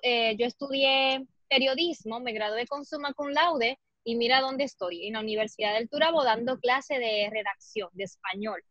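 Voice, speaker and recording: A woman's voice: on the high side, a bit bright, no echo. A Dominican woman in her twenties with a Cibao accent, a guest answering interview questions earnestly and reflectively over a video call. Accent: Dominican Spanish, Cibao. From Dominican Republic. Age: 20s. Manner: earnest, reflective, many fillers. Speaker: guest, fitness model. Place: small room indoors. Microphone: laptop or phone mic on video call. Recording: muffled video call audio.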